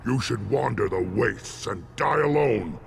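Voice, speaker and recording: An actor playing a stern, proud alien elder in a video game, with a gravelly voice and formal, declamatory delivery. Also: male voice, on the low side, expressive, unhurried, slight background noise, full character voice. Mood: solemn.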